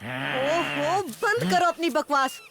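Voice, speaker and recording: A woman's voice: sits high, expressive, slow pace, gravelly, background noise, heavily acted. A woman voicing a plucky, boastful and defiant young boy of the jungle in a cartoon dub. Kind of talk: animated cartoon dub acting.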